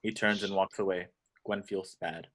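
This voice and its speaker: Male narrator, fairly low pitch, reads screenplay action descriptions for an amateur table read. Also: steady tone, moderate pace, a bit bright. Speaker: narrator reading stage directions.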